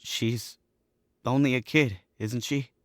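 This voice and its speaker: A man's voice: mid-range voice, fairly even intonation, slow, no room sound, clean studio sound, natural voice. A young man playing a frightened, stammering accomplice in a 1940s-style radio drama. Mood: panicky. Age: young adult. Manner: stammering and panicky.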